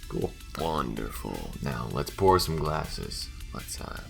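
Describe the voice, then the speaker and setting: A man playing a wry, guarded smuggler in a space adventure audio roleplay, talking haltingly with dry sarcasm. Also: a bass voice, big pitch swings, vocal fry, soft background music, full character voice. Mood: dryly sarcastic.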